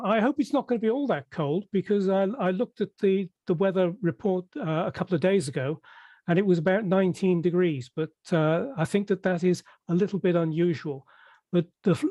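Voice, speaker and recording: Older English man, interview guest, mellow voice on the high side, measured and halting, dry close sound. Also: dry recording. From Merseyside, England. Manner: measured, hesitant with many fillers and restarts. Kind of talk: conversational interview.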